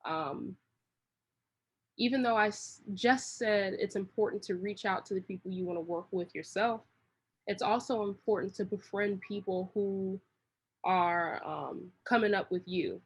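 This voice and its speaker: A woman's voice: medium pitch, unhurried, husky, rough recording. A woman talking casually as an interview guest, in a rambling, slangy, long-winded way. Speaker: interview guest, creative director.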